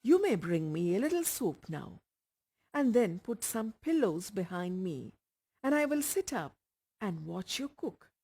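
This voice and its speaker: A woman's voice: mid-range voice, big pitch swings, measured pace, husky, heavily acted. Woman reading as a frail, wistful young woman. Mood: penitent, wistful. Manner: quiet, weak.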